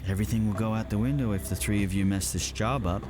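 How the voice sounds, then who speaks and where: A man acting in an audio drama as a smug, threatening military official, measured and condescending. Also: fairly low pitch, lightly acted. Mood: smug warning. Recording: music under the voice.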